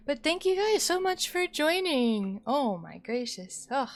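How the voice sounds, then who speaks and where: A woman live streamer chatting casually to viewers in an expressive, rambling, playful way, sounding a little sleepy. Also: high-pitched, slow. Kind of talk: casual chatty livestream sign-off. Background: music.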